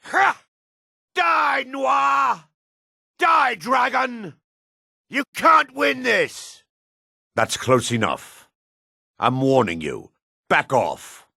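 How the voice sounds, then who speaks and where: An actor playing a gruff, suspicious middle-aged dark elf man in a fantasy video game, raspy and curt with a wary, sneering edge. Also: very high-pitched, huge pitch swings, very slow, pauses often, dry recording, clean studio sound, exaggerated voice. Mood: battle fury, then menacing, cold. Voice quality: gravelly, raspy. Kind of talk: video game character barks.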